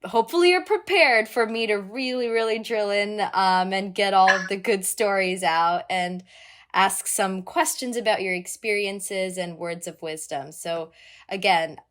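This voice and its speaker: A woman's voice: medium pitch, measured pace, fairly bright tone. A woman co-hosting a podcast, introducing her guest with gushing enthusiasm and then asking questions with frequent ums. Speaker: podcast co-host and interviewer.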